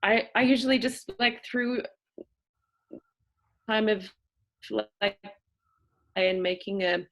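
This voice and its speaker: A woman with a cultivated, trained-sounding Australian accent, teaching an acting seminar over a video call. She talks thoughtfully, stopping often to find the right word. Her voice sits at a middle pitch for a woman. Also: creaky. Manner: thoughtful, searching for words, with pauses. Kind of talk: explanatory seminar talk.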